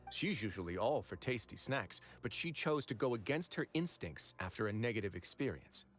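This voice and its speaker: A man voicing a composed, calculating male warden in a game who speaks in a measured, reflective way. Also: on the low side, big pitch swings. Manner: measured, reflective, explains reasoning at length. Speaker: game character. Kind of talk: game voice acting. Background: soft game music.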